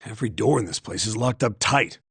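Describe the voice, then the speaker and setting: An actor voicing a big, gruff older man in a video game, blunt and teasing, with a rough, husky voice. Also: lowish voice, brisk, fairly bright tone, no room sound, exaggerated voice. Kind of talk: English video game voice acting.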